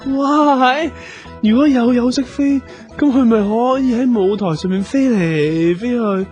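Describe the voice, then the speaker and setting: An actor with a Hong Kong Cantonese accent playing an excitable, emphatic magic student in a comic radio drama. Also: male voice, very high-pitched, measured pace, muffled recording, soft background music. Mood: excited. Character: excitable starry-eyed magic student and would-be show-off performer.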